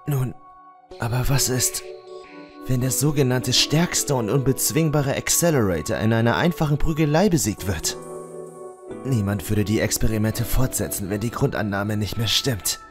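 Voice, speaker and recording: A man playing a battered, stubbornly determined teenage boy, speaking earnestly and deliberately in an anime fan dub. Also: medium pitch, lightly acted. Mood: earnest, explaining. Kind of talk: dramatic anime dub acting. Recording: fan dub with music underneath. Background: background music.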